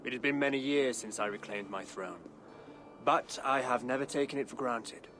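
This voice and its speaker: A man playing a thoughtful, pious English king in a video game, with a formal, regal and deliberate manner. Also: mid-range voice, close to an everyday voice. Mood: reflective. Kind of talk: dramatic video game acting. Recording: music under the voice.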